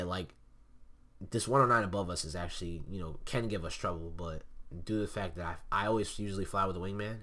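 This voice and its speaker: A man's voice: sits low, fairly bright tone. A young man with a stuffy nose, casually explaining flight tactics over replayed gameplay footage, with many fillers. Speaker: gameplay commentator.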